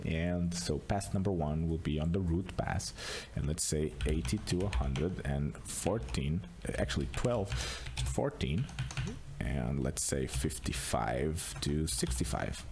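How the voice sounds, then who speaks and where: A man narrating an unscripted screencast tutorial as a software demonstrator, explaining casually step by step. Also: rumbling low, expressive, unhurried, background noise.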